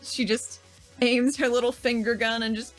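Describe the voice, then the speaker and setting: A woman playing a tabletop game, describing her character's actions in a playful, expressive way. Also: fairly high pitch, moderate pace, soft background music, low-quality recording. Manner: playful, expressive, laughs often. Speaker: player. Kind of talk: casual tabletop play.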